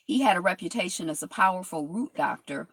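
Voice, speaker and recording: A woman's voice: lowish voice, animated, brisk, a bit bright, breathy edge, no room sound. A woman vlogger reading from a history text and rambling through reflective asides, with many fillers and restarts.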